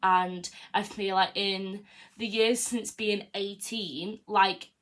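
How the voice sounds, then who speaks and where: A woman's voice: mid-range voice, fairly even intonation, slow pace, fairly bright tone. A young woman in her early twenties hosts a podcast, talking through it in a casual solo monologue. She is frank and self-affirming, and fills her talk with "like" and "you know what I mean". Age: early 20s. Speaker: podcast host.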